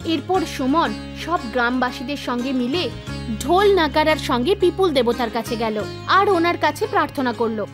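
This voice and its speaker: A woman narrating a scripted animated folktale as a storyteller. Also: sits high, normal speed. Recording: background music behind voice. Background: soft music.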